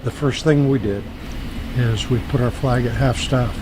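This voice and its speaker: A man's voice: medium pitch, normal speed, noisy background. A man giving plain-spoken answers as an interviewee. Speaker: interviewee, bereaved parent.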